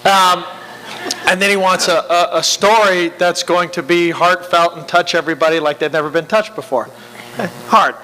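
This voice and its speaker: A man's voice: high-pitched, fairly even intonation, moderate pace, bright, forceful tone. A man, an animation film director, talking candidly on a festival panel with wry, self-mocking humor. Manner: wry, self-mocking, plays at quotes in funny voices. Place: panel stage in a large hall. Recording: reverberant hall with faint hum.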